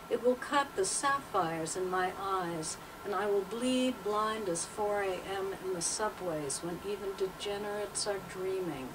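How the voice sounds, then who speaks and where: Slow, measured older woman, distantly recorded. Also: mid-range voice. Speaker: poet and teacher. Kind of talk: interview talk and poetry reading aloud. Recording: distant mic, clearly noisy background.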